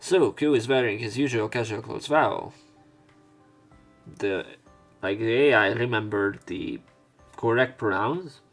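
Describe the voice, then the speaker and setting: Guy reading visual novel text out loud, kinda low voice, rambly casual comments, bit of music under him. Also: a little slow, pauses often. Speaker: let's play commentator. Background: soft game music.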